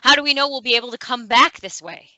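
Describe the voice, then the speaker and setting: Female soldier, read by a woman: anxious, insistent, defiant, piercingly bright tone. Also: fairly high pitch, fast. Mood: worried, defiant. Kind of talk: amateur screenplay table read.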